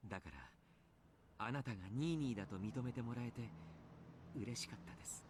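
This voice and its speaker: Guy voicing gentle formal mentor man from anime game, sincere, talks quickly, noisy backdrop. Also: medium pitch, lively intonation, lightly acted. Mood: earnest, encouraging. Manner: courteous, measured formal phrasing. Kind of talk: Japanese visual novel voice acting.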